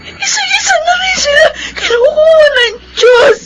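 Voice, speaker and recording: An actress playing a melodramatic young orphaned daughter, wailing with grief in a Filipino radio drama, with a Filipino accent. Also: female voice, extremely high pitch, animated, measured pace, shouting, background noise. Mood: anguished, tearful. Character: grief-stricken young orphaned adopted daughter, angry at God.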